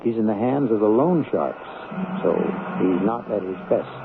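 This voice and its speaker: A man's voice: fairly low pitch, lively intonation, normal speed, background noise. An American man hosting a radio mystery series with dramatic scripted narration. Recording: tinny 1970s radio recording.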